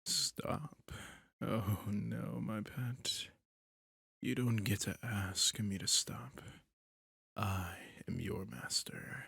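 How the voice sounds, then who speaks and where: A possessive male vampire, voiced by a man, breathes slow, commanding threats in a low, gravelly whisper. The voice is husky and close, with a dry, intimate sound for an ASMR roleplay. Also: fairly even intonation, fairly bright tone, no room sound, full character voice. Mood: sinister seductive.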